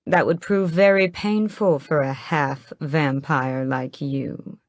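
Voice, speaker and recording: A sinister male vampire drawls each line slowly and with lazy mockery, voiced by a woman. His voice sits low in a dry, close sound. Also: no room sound. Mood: mocking. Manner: drawling, mocking, unhurried.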